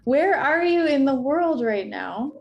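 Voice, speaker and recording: A woman's voice: sits high, lively intonation, a little slow, vocal fry, highs cut off, faint music behind. Effusive woman interview host. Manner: effusive, repeats words. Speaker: interview host, art school founder. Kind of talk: casual interview.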